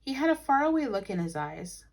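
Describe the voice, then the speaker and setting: A woman reading a children's story aloud as a teacher would, animated and with dramatic emphasis for young listeners. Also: fairly high pitch, fairly quick. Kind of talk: expressive storybook read-aloud.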